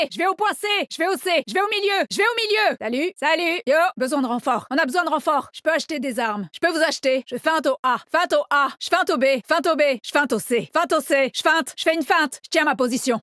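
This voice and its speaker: A woman's voice: sits high, a bit bright, no echo, clean studio sound. An actress voicing a young, cocky and upbeat female agent in a tactical shooter game, with short, confident, teasing callouts. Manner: confident, teasing, brisk delivery. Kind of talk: video game voice lines, short punchy callouts.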